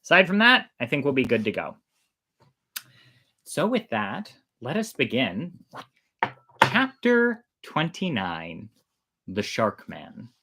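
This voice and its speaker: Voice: mid-range voice, huge pitch swings, slow pace, pauses often, sharp tone. An enthusiastic, emphatic host of indeterminate gender telling stories and explaining things in a casual way. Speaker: podcast host and storyteller.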